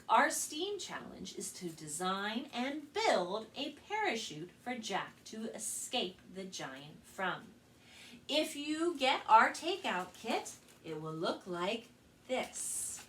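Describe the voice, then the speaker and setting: An American woman hosts a children's storytime with a bright voice and a very lively, expressive melody. She speaks like a practiced storyteller presenting to young listeners. She sounds a little way from the microphone, with faint noise behind her. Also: fairly high pitch. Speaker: children's librarian and storytime host. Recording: distant mic with faint background noise.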